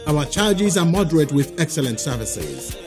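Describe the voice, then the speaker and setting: A man's voice: on the high side, big pitch swings, moderate pace, over music. A man with a Nigerian accent delivering a scripted promotional voice-over as an advert announcer.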